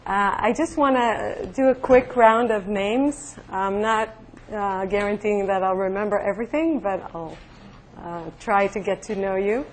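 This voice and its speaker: A young woman lectures to a physics class, pausing often with ums and uhs. The room is echoey and there is steady noise behind her voice. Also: mid-range voice. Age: young adult. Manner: hesitant, many fillers, friendly. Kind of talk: university lecture with class introductions.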